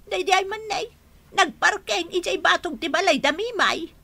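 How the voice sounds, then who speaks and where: A woman playing a nosy, sharp-tongued middle-aged village mother in a radio drama, prying and exclamatory. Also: very high voice, moderate pace, vocal fry, heavily acted. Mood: chatty, prying. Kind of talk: radio drama acting in rural family comedy.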